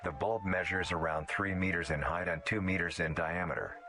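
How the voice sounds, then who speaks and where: A man reading scripted informational narration in a measured, formal, matter-of-fact manner. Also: low-pitched, steady tone. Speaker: documentary-style narrator. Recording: loud music behind the voice. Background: background music.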